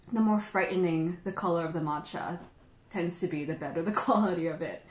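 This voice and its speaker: A woman's voice: medium pitch, echoey room. A woman who grew up in the Philippines hosts a casual livestream while tasting tea, chatting freely, laughing often and reading out viewer comments.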